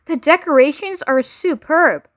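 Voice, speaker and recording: A woman's voice: high voice, measured pace, fairly bright tone, no echo, studio quality. A woman voicing a pompous, fawning male hotel manager who gushes over everything.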